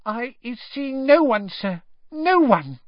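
A man's voice: very high-pitched, very animated, slow, no echo, dull audio, voice pushed up, heavily acted. A man voicing a tiny, terrified female house-elf servant who squeals and stammers in broken grammar. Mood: fearful whisper.

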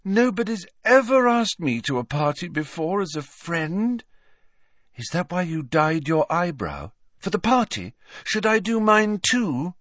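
A man's voice: high-pitched, very animated, measured pace, fairly bright tone, no echo, studio quality, pitched above the performer's own voice, exaggerated voice. A man voicing a dreamy, candid teenage schoolgirl in an audiobook, vague and wondering. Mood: pleased surprise. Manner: vague, wondering, matter-of-fact. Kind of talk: audiobook character voice.

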